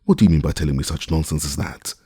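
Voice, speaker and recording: A man voicing an excitable gentleman, feverish and commanding, who speaks in urgent, demanding questions. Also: rumbling low, very fast, slightly rough, dry recording, clean studio sound, full character voice. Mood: excited.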